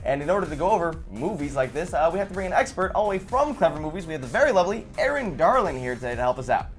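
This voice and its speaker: A man's voice: a little high, big pitch swings, very fast, over music. A man in his twenties hosting a casual gaming chat, rambling with laughing asides. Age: 20s. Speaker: host.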